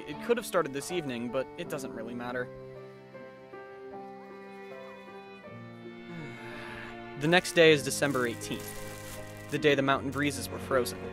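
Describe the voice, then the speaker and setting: A man playing a sardonic, weary male high school student who narrates and talks dryly in an anime fan dub. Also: close to an everyday voice. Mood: ominous, wry. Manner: dry, wry, long-suffering.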